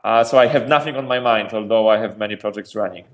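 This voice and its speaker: A man from Poland, a startup founder answering interview questions in a lively, energetic way, often restarting and repeating words. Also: fairly low pitch, quick pace. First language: Polish.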